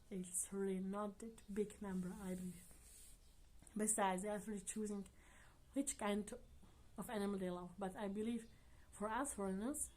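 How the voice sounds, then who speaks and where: A woman vlogger chatting casually to her viewers in earnest, non-native English, often laughing softly. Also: mid-range voice. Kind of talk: casual chat to camera.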